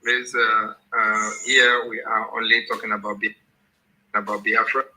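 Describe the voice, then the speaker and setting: A man, speaking in a halting, deliberate way as he leads a political discussion. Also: fairly low pitch, monotone, sharp tone, rough recording. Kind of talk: moderated online political discussion.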